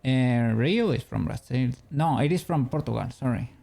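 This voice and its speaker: A man's voice: mid-range voice, animated, normal speed, creaky, warm tone. A man from Spain with a Spanish accent, chatting casually with viewers while he works on a 3D model during a stream. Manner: rambling, answers chat, chuckles often.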